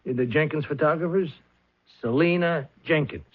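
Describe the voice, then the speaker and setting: An actor playing a shabby, evasive showbiz agent in a 1970s radio drama, stammering and wheedling in streetwise New slang. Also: male voice, on the high side, normal speed, husky. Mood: flustered, evasive. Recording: dull old radio recording.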